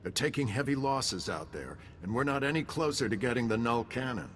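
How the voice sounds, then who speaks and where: An actor playing a gruff, pugnacious admiral in a video game, giving orders in a blunt, salty, commanding way. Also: male voice, medium pitch, expressive, fairly quick, fairly bright tone, long reverb tail, faint music behind, close to an everyday voice. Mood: gruff, determined.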